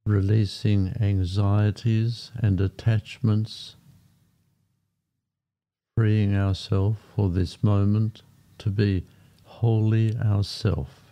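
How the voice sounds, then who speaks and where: A man guiding a meditation in a calm, unhurried manner, speaking in short phrases with long pauses between them. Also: fairly low pitch. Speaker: meditation guide. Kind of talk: guided meditation narration. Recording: muffled, dull lo-fi sound. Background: background music.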